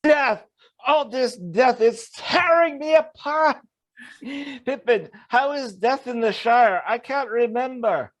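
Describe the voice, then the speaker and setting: A man's voice: very high voice, slow pace. A man voicing a small, dazed, tormented male hobbit with theatrical delivery at an amateur table read.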